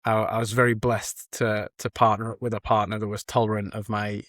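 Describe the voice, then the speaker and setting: A man's voice: on the low side, little pitch movement, a little fast, fairly bright tone, no echo, studio quality. A man hosting a podcast, joking around and hesitating with fillers as he introduces his guest. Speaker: podcast host. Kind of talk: casual podcast interview.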